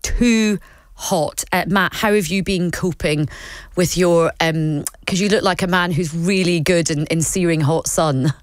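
A Glaswegian woman hosts a live radio chat, her voice sitting a little low. The studio sound is dry and close. She talks in a chatty way, full of restarts. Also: dry recording. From Scotland. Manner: chatty, quick restarts, laughs easily. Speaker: radio presenter. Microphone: studio microphone.

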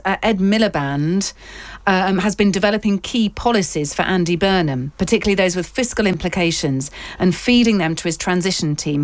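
A woman's voice: on the low side, no room sound. Chatty woman hosting, dry sound. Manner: chatty, hesitant with fillers. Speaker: radio host. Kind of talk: casual news chat.

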